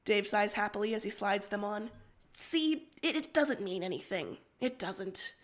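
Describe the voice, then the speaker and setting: A woman's voice: mid-range voice, unhurried. A woman reading a story aloud on a casual livestream, as one of two narrators.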